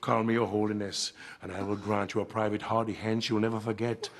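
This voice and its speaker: An actor with a Jamaican accent playing a bold, lecherous, pompous church minister in a broad stage comedy. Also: male voice, fairly low pitch, little pitch movement, fairly quick, lightly acted. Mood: suggestive, smug. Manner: pompous, suggestive preaching.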